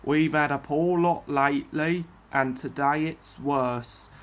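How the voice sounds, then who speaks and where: A woman voicing a loyal male railway fireman in a children's storybook, matter-of-fact, deferential and low in pitch. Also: fairly even intonation, slow. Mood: apologetic.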